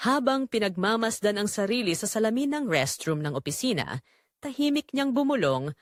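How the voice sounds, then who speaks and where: A woman with a Filipino accent narrates a scripted story dramatically, in measured, suspenseful short phrases. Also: fairly high pitch, lively intonation, dry recording, clean studio sound.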